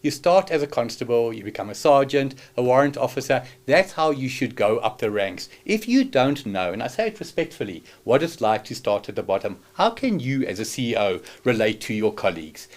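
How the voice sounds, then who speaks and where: A man's voice: medium pitch, sing-song, a little fast, few pauses. A man in his fifties hosting a studio interview, emphatic and fond of rhetorical questions. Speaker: podcast host.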